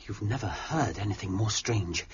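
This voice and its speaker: An actor playing an earnest gentleman eagerly relaying news in a period drama, with dramatic delivery. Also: fairly low pitch, moderate pace, slightly rough. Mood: eager, grave. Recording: dull audio with faint noise.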